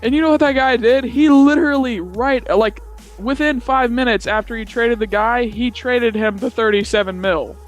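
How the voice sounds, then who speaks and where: A man's voice: very high voice, moderate pace, soft background music. A young man chatting casually as he tells a gaming story, giggly and rambling, often repeating himself. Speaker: gamer telling a story.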